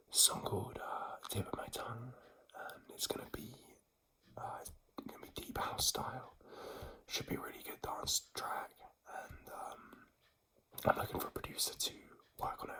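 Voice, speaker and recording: A man's voice: mid-range voice, sharp tone, poor audio. A man vlogging in a whisper because he has lost his voice, talking in a rambling way with many fillers and an American-sounding accent, indoors in a home. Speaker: vlogger and singer-songwriter. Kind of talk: casual vlog chat.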